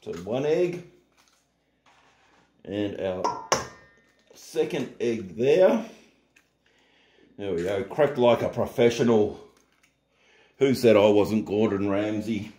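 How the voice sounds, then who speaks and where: A man's voice: lowish voice, takes it slowly, pauses often, roomy sound. A middle-aged man hosting a casual home cooking tutorial in his kitchen, chatty and joking at himself. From Australia. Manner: relaxed, chatty, jokes at himself.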